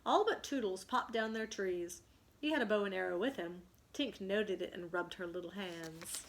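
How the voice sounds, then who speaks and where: A woman teacher reading a children's story aloud with dramatic expression and pausing to ask questions. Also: mid-range voice, poor audio.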